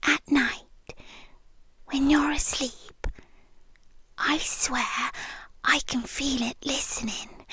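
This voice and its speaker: High, slow, whispery British yandere girlfriend, acted by a woman. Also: dry recording, full character voice. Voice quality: soft, breathy, often dropping into whisper. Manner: slow, deliberate, lingering pauses. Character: possessive, unsettling yandere demi-human girlfriend, tender yet menacing. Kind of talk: ASMR roleplay, slow intimate delivery. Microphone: close microphone, dry.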